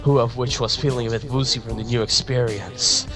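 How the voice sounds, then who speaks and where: A man reads fan fiction aloud in a dramatic storytelling style over prominent music. His pitch is average, and he occasionally trips on words and repeats himself. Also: steady tone, a little fast. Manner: stumbles and repeats words while reading. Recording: music behind the voice.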